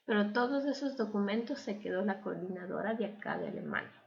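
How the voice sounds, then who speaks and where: A young adult woman with an Ecuadorian accent speaks conversationally as a guest sharing her story. Her voice sits at a medium pitch with heavy creak, and the recording is a little dull and lo-fi. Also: huge pitch swings, a little fast. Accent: Ecuadorian Spanish. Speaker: interview guest. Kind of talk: conversational personal storytelling and advice.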